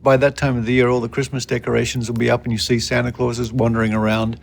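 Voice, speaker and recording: A man's voice: mid-range voice, level tone, quick pace, creaky, a little hiss or hum. An Australian man hosting a podcast, chatting casually as he interviews a guest.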